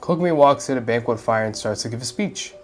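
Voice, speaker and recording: A young man with a mid-pitched voice comments casually on a game. His talk is informal and slangy, with faint music behind it. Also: lively intonation, fast. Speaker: gaming YouTuber. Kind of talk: casual let's-play commentary.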